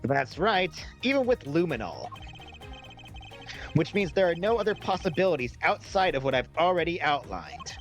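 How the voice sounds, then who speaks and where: A man's voice: on the high side, sing-song, soft background music. A man voicing a proud, confrontational agent in a video game fan dub, with theatrical, emphatic delivery. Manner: theatrical, emphatic, drawn-out words. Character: proud male agent with a wolf fixation, brash and confrontational.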